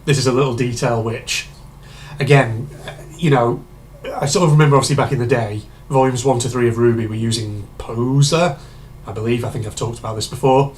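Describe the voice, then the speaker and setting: A man chats his way through a reaction video, meandering and full of fillers. His voice sits at an ordinary middle pitch and sounds a touch hoarse and congested from a cold. A faint hum of noise sits behind him. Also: a little mellow. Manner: rambling, chatty, many fillers and asides. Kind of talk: casual reaction commentary.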